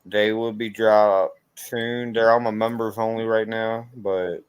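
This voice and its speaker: Smooth-voiced man chatting, close and dry. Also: lowish voice, flat delivery, measured pace, no echo. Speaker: host of a paranormal investigation channel. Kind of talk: casual livestream chat.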